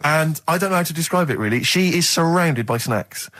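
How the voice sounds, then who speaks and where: Fast-talking man telling comic observations. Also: on the high side, lively intonation, a bit bright. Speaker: radio show co-host.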